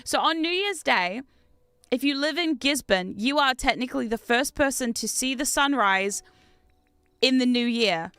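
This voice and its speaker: Young Kiwi-British woman streamer, bright higher voice, dry close sound, chatty and animated. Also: moderate pace, smooth, dry recording, faint music behind. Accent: mix of New Zealand and British. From New Zealand. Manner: chatty, animated, reads chat aloud, laughs often. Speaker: game streamer. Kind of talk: casual gaming livestream chat.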